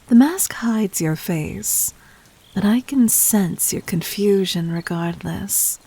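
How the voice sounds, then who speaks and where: A woman playing a poised demon queen in an audio roleplay, speaking formally to the listener with teasing condescension and breathy, whispered touches. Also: mid-range voice, slow, warm tone, exaggerated voice.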